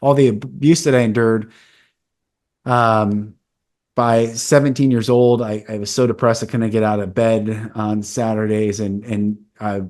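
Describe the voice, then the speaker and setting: A man's voice: lowish voice, steady tone, measured pace, darkish tone. A man in his forties hosting a podcast, telling a personal story in an earnest, candid, casual way. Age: 40s. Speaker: podcast host. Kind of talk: casual conversation.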